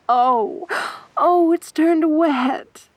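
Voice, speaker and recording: A woman performing an ASMR roleplay as a sadistic, teasing winged siren, speaking softly and breathily with taunting, coaxing mock sympathy. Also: high voice, extremely slow, dry recording. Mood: mocking, amused. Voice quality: soft, breathy.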